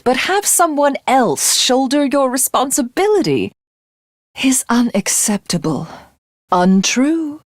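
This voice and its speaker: Imperious, slow-speaking alien warlord goddess. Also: female voice, fairly low pitch, a little mellow, no room sound, studio quality. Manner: haughty, formal, theatrical, deliberate. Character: imperious female alien warlord who deems herself a god. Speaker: voice actress. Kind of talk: English video game character voice lines.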